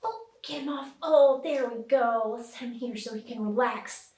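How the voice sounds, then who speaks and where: Lady doing kids puppet storytime, high voice, slow n sing-songy, bit echoey, asks the kids lots of questions. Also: darkish tone. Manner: exaggerated, sing-song, asks questions with dramatic gasps and pauses. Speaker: children's storytime host with puppets. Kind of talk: interactive storytelling to young children.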